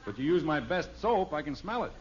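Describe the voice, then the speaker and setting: Exasperated, blustering sitcom dad. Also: male voice, fairly high pitch, sing-song, fairly quick, crowd noise. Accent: American. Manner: lecturing, commanding, speechifying. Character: exasperated, strict, blustering suburban father, middle-aged. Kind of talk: 1950s radio sitcom acting. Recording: muffled vintage radio recording.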